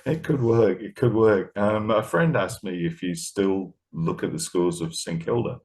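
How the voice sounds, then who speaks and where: A man with a low voice conducts a casual interview. He speaks conversationally, in the relaxed wording of an informal chat between interviewer and guest. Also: measured pace.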